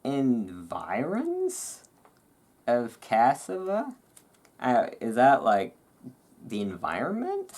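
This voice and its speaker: A host doing a relaxed ASMR-style reading aloud, breaking off into informal, musing asides. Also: medium pitch, very slow, pauses often. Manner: informal, chatty, musing asides between read passages.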